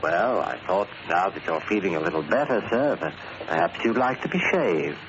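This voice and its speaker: An actor playing a deferential, quietly menacing manservant with polite, formal, precise diction, in a 1940s radio drama style. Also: male voice, low voice, expressive, normal speed. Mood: courteous. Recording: muffled old radio recording, heavy noise.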